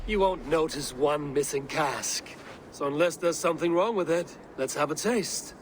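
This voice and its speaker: An actor playing a stern, principled Viking raider hero who speaks in a blunt, measured way in a video game. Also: male voice, on the high side, slight background noise, natural voice. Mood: wry. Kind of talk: dramatic video game cutscene acting.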